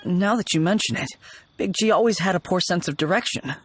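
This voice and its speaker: A man's voice: high voice, animated, brisk, a bit bright. Earnest, kindly young man. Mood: fond, relieved. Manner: earnest, polite. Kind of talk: video game character dialogue. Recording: faint music behind the voice. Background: faint game music.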